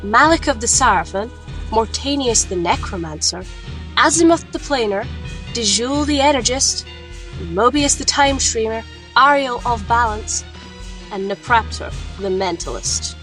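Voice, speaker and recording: Woman as a solemn fantasy alchemist over loud music. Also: fairly high pitch, animated, measured pace. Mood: solemn recounting. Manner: solemn, theatrical. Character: grave female alchemist of a sisterhood, recounting battles. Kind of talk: dramatic first-person scripted narration. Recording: loud music bed underneath.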